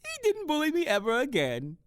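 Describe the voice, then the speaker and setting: Woman playing an excitable, high-pitched, kindly European man. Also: fairly quick, no room sound. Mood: cheerful, proud. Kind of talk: audio drama character acting.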